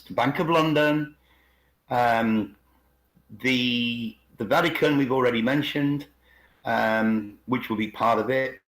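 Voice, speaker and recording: A man's voice: medium pitch, a bit bright. Echoey man presenting with rhetorical questions. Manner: rhetorical questions, emphatic repetition. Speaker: video channel host. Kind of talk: informal presentation with commentary. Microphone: video call. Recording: echoey video call audio.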